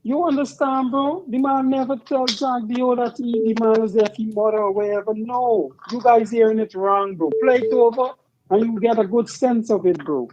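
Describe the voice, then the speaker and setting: A man calling in to argue his point insistently, in rapid bursts and saying bro often. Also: very high-pitched, fairly even intonation, background noise. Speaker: caller. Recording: muffled phone line.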